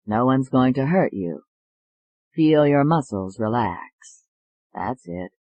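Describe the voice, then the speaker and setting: Calm soothing doctor guy, read by a narrator, lower voice, dry sound. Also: no echo, dull audio. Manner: slow soothing hypnotic. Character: calm soothing male psychiatrist. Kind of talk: audiobook character voice.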